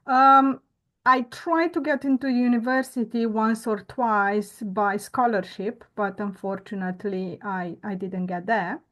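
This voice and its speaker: A woman, a guest telling her story earnestly and reflectively, pausing often on "uh" and "um". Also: mid-range voice, fairly even intonation, fairly bright tone. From Romania. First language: Romanian. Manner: earnest, reflective, with frequent "uh" and "um" pauses. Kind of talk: conversational interview storytelling.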